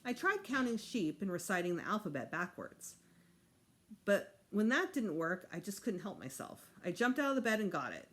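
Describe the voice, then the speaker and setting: A woman teacher reading a children's book aloud in an expressive narrating style. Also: mid-range voice, normal speed.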